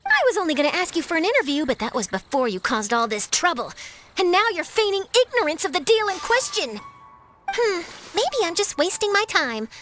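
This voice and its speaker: Teasing young woman reporter, extremely high voice, lively intonation, coy, pointed, video game dialogue. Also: a little fast, soft background music, heavily acted. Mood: coy, threatening. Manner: coy, pointed, theatrically knowing. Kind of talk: animated video game voice acting.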